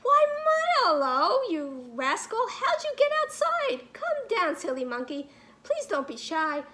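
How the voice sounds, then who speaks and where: A woman's voice: very high voice, big pitch swings, unhurried, pushed and bright, full character voice. A woman playing a kindly female zookeeper in a storybook, fondly scolding in a coaxing, affectionate manner.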